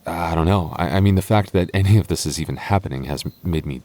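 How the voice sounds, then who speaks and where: A man performs a young boyfriend in an intimate audio roleplay. His voice sits low and soft. He stammers and hesitates as he talks, nervous yet openly affectionate toward his partner. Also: fast, close to an everyday voice. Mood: pensive.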